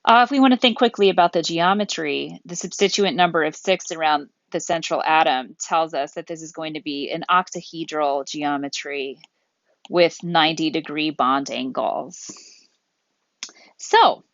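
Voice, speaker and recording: A woman lecturer explaining material methodically and deliberately, step by step, as she thinks aloud. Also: sits low, fairly bright tone, dry recording, muffled recording. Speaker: chemistry lecturer.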